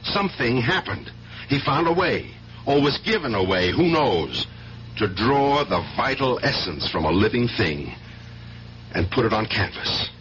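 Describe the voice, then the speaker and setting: A driven, distrustful sergeant in a 1970s radio drama. His voice swings widely in pitch as he argues insistently and with sarcasm. The recording is muffled, with a light hum. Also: big pitch swings, unhurried, fairly bright tone. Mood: pressing, accusing. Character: driven, distrustful police sergeant confined as a mental patient.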